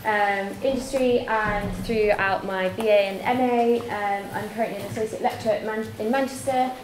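A woman's voice: mid-range voice, steady tone, moderate pace, reverberant, background noise. A young woman from Wales with a faint Welsh accent, giving a chatty, self-deprecating talk in a lecture theatre, full of fillers and restarts. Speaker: guest lecturer. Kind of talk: conference talk.

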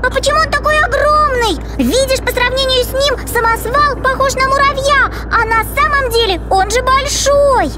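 A woman voicing a curious, excitable little girl sidekick in a children's cartoon, exclaiming and asking questions. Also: very high-pitched, normal speed, few pauses. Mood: excited. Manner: exclamatory, inquisitive. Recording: noisy engine sounds behind.

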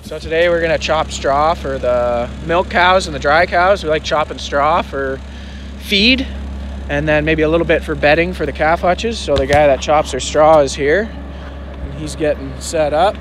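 A man's voice: fairly high pitch, moderate pace, a bit bright. A man in his thirties, a dairy farmer, explaining things casually outdoors to a handheld phone. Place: outdoors in a farmyard. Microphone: phone at arm's length. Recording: faint outdoor vehicle noise.

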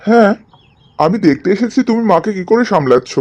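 An easygoing young husband acted by a man in a cartoon drama, coaxing and affectionate. His voice is high and mellow, swinging freely in pitch, on a slightly muffled recording. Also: lively intonation, a little fast. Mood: affectionate, explaining. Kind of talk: animated cartoon drama dialogue.

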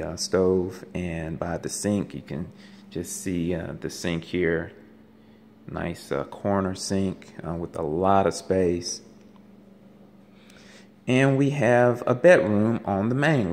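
A man gives a casual walking tour of an empty house as a realtor, talking haltingly with many ums and uhs, filmed on a handheld phone. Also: deep voice, slight background noise. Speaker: realtor giving a home tour. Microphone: handheld phone camera. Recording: echoey empty rooms.

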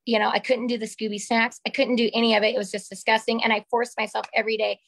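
Slightly raspy woman in her forties. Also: on the high side, little pitch movement, quick pace, a bit bright. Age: 40s. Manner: candid, chatty, gestures with her hands. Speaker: call participant. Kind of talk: casual personal testimonial. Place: small room at home. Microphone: webcam on a video call.

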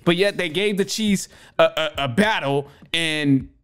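A man's voice: on the high side, measured pace, a bit bright, clean tone. A young man hosting a sports podcast from a home studio, reacting emphatically with lots of restarts and repeated phrases. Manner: emphatic, repetitive, stammering restarts. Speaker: podcast host. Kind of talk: casual sports commentary. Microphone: studio mic with headphones.

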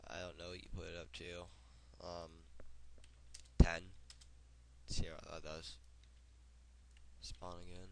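A young man with a head cold talks through a software tutorial in a loose, rambling way. His voice sits very deep, and he fills pauses with ums and uhs. He sounds like a casual home creator at his desk. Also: flat delivery, creaky, slight background noise. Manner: casual, rambling with fillers and restarts. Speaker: tutorial host. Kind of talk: casual screen-recorded tutorial.

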